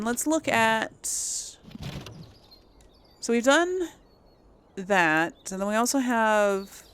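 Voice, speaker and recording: A woman hosts a video game let's play in an everyday mid-pitched voice with a creaky edge. She talks slowly and casually, thinking out loud to her audience. Faint noise and game ambience sit behind her. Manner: chatty, thinking aloud to the audience. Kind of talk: casual gameplay commentary.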